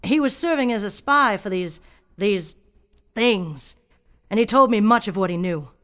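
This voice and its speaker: A woman's voice: mid-range voice, expressive, a little slow, a bit bright. Grave, haunted old country woman. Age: older. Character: fearful, stubborn old rural woman guarding alien secrets. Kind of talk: radio play acting.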